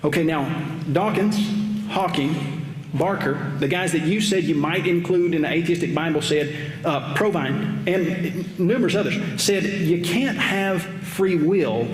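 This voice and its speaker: Higher-voiced man cross-examining in a hall. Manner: measured, probing questions. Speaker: debater. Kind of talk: formal debate cross-examination. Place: large auditorium stage. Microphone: podium microphone. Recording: roomy hall reverb, faint noise.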